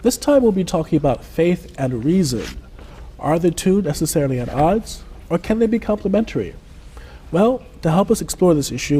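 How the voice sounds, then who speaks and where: A man's voice: a little high, dark tone, breathy edge. A man hosting a TV interview show, reading polished, formal introductions and asking questions. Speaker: host.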